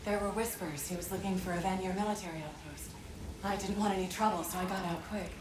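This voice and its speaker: An actress playing a dutiful ghost messenger in a video game, earnest and halting, echoing over background noise. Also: female voice, medium pitch, steady tone, natural voice. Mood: resigned, recounting. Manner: halting, urgent. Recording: echoey, noisy ambient game scene.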